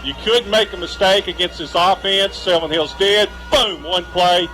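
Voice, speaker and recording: Bright-voiced American man, informal football color commentary. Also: high voice, normal speed, faint music behind, low-quality recording. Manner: informal, emphatic, repeats himself. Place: stadium broadcast position at a high school game.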